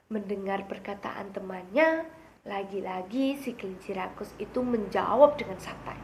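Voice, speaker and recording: A young woman telling a children's fable in an expressive storytelling style. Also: medium pitch, normal speed, a little hiss or hum.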